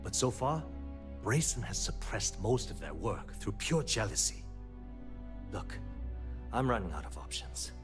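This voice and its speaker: Low-pitched male frustrated, principled company manager for sci-fi game dialogue, earnest and articulate, music underneath. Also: big pitch swings, unhurried, pauses often. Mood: frustrated, pleading. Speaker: video game character.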